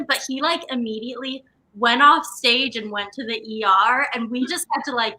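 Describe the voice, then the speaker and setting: A woman's voice: fairly high pitch, sharp tone, rough recording. A woman guest chatting casually and telling stories in an informal way. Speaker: guest, stage actor from a theater company. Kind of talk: casual anecdotal chat.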